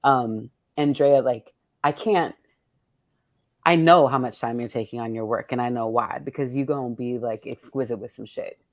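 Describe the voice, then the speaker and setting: A woman with a strikingly low voice and an American accent, recorded dry and close to silent in room sound. She hosts in a loose, unscripted style, effusive, slangy and freely profane. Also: normal speed, no echo. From born in Texas, United States. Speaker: host of a poets' table reading. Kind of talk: casual, unscripted conversation with fellow poets.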